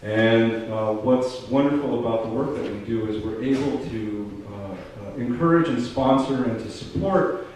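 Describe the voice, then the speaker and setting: Halting man speaking in an echoey theater. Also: medium pitch, a little mellow. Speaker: event host. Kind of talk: ceremony welcome speech. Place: theater stage. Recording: distant, very echoey theater, faint noise.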